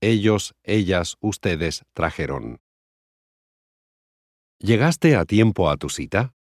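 A man reading scripted language lesson examples with careful, deliberate enunciation, as in a conjugation drill. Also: deep voice, big pitch swings, dry recording, studio quality.

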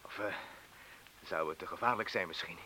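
A man's voice: sits low, moderate pace, sharp tone, rough recording. A male actor playing a trapped, frightened and impatient petty criminal in a radio drama, agitated and needling, moving between mutters and outbursts. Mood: anxious, edgy. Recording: hissy old radio play recording.

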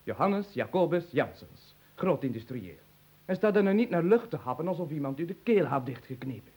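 A man's voice: on the high side, very animated, moderate pace, darkish tone, slightly rough, heavily acted. A man playing a pompous, long-winded and bossy industrialist in an absurdist comic radio play. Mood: irritated, lordly. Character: eccentric, pompous male industrialist, fussy and bossy.

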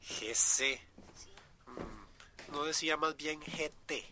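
Radio drama: actor as young male ecologist, sharp bright voice, fairly high, serious and explanatory, Central American accent. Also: slow pace, heavily acted. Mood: grave, informative. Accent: Central American Spanish.

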